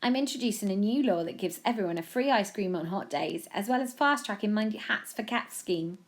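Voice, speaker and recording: A breezy young male prime minister read by a woman, confident and earnestly silly. The storybook delivery is lively, with plenty of rise and fall. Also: medium pitch, brisk, pitched above the performer's own voice, lightly acted. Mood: cheerful, breezy.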